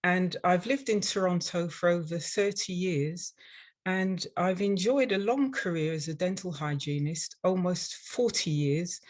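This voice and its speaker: An older woman with a London English accent presents a prepared webinar. Her voice sits a little low for a woman. She speaks in a measured, instructional manner, like an experienced instructor addressing learners. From London, England. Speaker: dental hygienist and instructor presenting.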